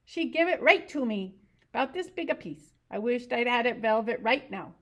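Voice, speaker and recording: A woman's voice: a little high, animated, normal speed, voice pushed up. A woman voicing a simple-minded big male farmhand who talks in a childlike, earnest way with rural grammar, in an audiobook reading. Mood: earnest wistful.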